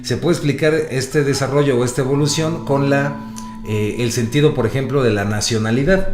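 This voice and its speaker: A man who co-hosts a relaxed panel talk. He speaks in a thoughtful, searching way, often trailing into lower musings. The room has a noticeable echo. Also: moderate pace, over music. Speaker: podcast co-host. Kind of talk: casual panel discussion.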